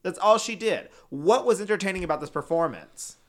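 Male co-host, casual review chat, blunt and animated, slightly high voice, swears freely. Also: brisk. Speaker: podcast co-host reviewing a TV show.

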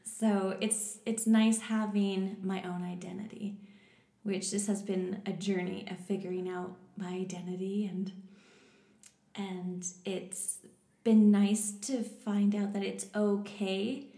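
A woman's voice: medium pitch, flat delivery, slow, creaky, warm tone. A woman in her thirties from Canada, a podcast guest who speaks candidly and laughs often. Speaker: interview guest. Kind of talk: casual interview. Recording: echoey room.

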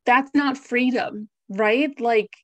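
A woman's voice: on the high side, slow pace, no echo, dull audio. Woman, American accent, thirties, podcast guest, chatty and upbeat, dry close sound. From United States. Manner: chatty, upbeat, with frequent "like" and "right?". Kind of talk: podcast interview.

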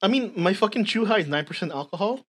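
A woman's voice: mid-range voice, lively intonation, fast, slightly rough. A woman in her twenties with a slight Filipino accent, chatting informally as a podcast co-host and explaining her points emphatically. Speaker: podcast co-host and content creator. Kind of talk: casual podcast chat.

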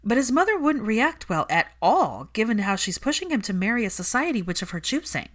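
A woman's voice: fairly high pitch, very animated, fairly quick, fairly bright tone, pitched above the performer's own voice. A woman voicing a stubborn, frank young witch in a story reading, direct and probing.

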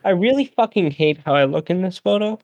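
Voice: medium pitch, lively intonation, a little fast, darkish tone, dull audio. A podcast co-host chatting casually, in a playfully indignant, pleading way.

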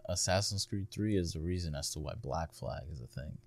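A man's voice: sits low, animated, brisk, gravelly. A young adult man with an American accent chatting casually about video games, swearing freely and acting out imagined lines in loose slang.

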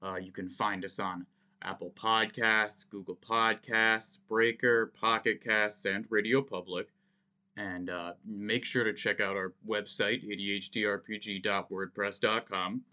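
A man's voice: on the low side, steady tone, measured pace, pushed and bright. A man hosting a podcast, giving a loose, jokey outro with plugs. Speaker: podcast host.

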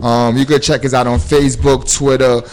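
A man's voice: mid-range voice, level tone, moderate pace, soft background music. A man hosting a podcast, talking in a laid-back, rambling way with lots of fillers and street slang. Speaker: podcast host. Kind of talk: casual podcast chat.

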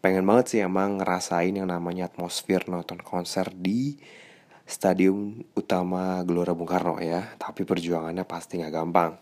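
A man's voice: low-pitched, flat delivery, moderate pace, a little mellow. A young man with a stuffy nose, hosting a casual, slangy monologue podcast in a confiding tone. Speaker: radio announcer and podcast host.

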